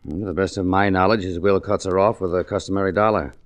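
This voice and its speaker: Man as deep, quick-talking guarded businessman. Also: steady tone, no room sound, close to an everyday voice. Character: brusque, guarded businessman in a mystery. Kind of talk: 1940s radio acting. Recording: dull old radio recording.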